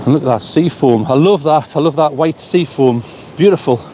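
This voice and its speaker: A man's voice: fairly high pitch, normal speed, noisy background. A man from the North East of England, chatting with viewers in a rambling, talkative way while he walks a seaside pier. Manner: chatty, rambling, repeats words while thinking. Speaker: vlogger. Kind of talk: live-streamed walking vlog, chatting with viewers. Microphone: small mic on a phone while walking.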